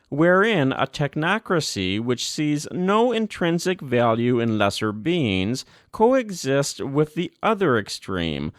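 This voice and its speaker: A man reading a formal religious text as scripted narration, with measured, deliberate phrasing and emphatic pauses. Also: mid-range voice, no room sound, studio quality. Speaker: volunteer audiobook narrator.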